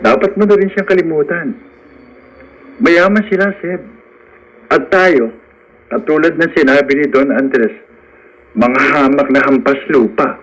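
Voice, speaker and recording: A man acting as a plain-spoken, practical friend in a Filipino radio drama. His medium-pitched male voice carries the firm counsel of a humble man used to hardship. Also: natural voice, poor audio. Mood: firm, resigned. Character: poor, practical, frank male friend giving firm advice.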